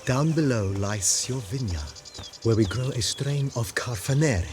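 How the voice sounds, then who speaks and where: A man's voice: mid-range voice, expressive, measured pace, background noise, natural voice. Man playing a formal, lilting estate majordomo. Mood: courteous, refined. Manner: formal, precise, politely ornate. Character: courteous, formal, proud hereditary majordomo of a vineyard estate. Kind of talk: scripted game dialogue, giving a guided tour. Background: music.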